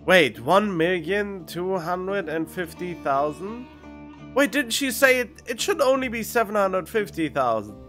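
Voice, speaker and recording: Male streamer, very slow musing delivery, mid pitch, casual gaming commentary, faint music behind. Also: animated, fairly bright tone. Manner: musing, thinking aloud. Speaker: game streamer. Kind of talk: casual let's play commentary. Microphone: close studio condenser microphone. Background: faint game music.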